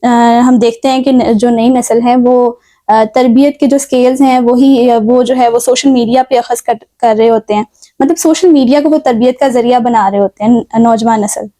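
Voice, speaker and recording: A woman with a Pakistani accent hosts a formal interview. Her voice is high in pitch yet soft and mellow in tone. She addresses her guest politely and with deference. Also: steady tone. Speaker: talk show host.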